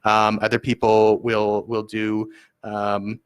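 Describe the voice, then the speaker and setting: A man with a fairly low voice speaks as a guest about his food bank. He explains things earnestly, stumbling over repeated words and fillers as he goes. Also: monotone, slow pace, clear, resonant tone. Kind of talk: interview answers.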